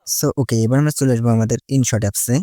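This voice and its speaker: A man's voice: medium pitch, quick pace, mellow, no echo, clean studio sound. A man giving a casual step-by-step tutorial, mixing in English words and leaning on repeated linking phrases. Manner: repetitive connective phrases, mixes English words into Bengali. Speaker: tutorial host.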